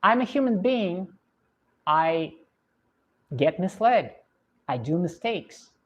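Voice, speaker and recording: A woman's voice: fairly low pitch, big pitch swings. Russian woman musing on a livestream. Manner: reflective, unhurried, many fillers. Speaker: livestream host. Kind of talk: casual livestream chat reading viewer comments. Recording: dull lo-fi stream audio.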